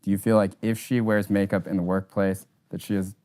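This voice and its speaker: A man's voice: low voice, level tone, a little fast, dark tone. A man working as an interviewer, putting questions to someone in conversation.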